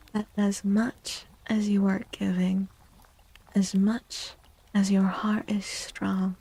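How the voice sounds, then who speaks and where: A soft-spoken woman in a gentle, half-whispered ASMR style, speaking deliberately with pauses as a livestream tarot reader. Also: mid-range voice, vocal fry, breathy edge, rough recording.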